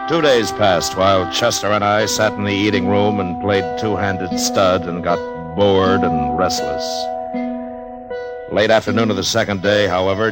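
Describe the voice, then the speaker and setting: A low, steady American lawman, speaking in measured, understated phrases. He has the dry authority of an Old West marshal in a classic radio drama. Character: steady, laconic Old West U.S. marshal, middle-aged man. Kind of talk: 1950s western radio drama acting and narration.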